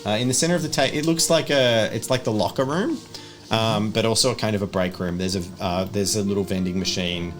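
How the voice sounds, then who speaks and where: A man's voice: lowish voice, a little fast. A man working as game master, narrating a tabletop horror game in a casual, improvised way. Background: background music.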